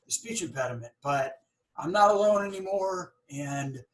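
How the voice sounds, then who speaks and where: A man's voice: a little high, expressive, moderate pace, low-quality recording. A middle-aged man hosting an interview, talking earnestly and stuttering now and then on words. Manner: earnest, stammers and repeats words. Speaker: podcast host; dentist and practice owner. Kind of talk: conversational interview.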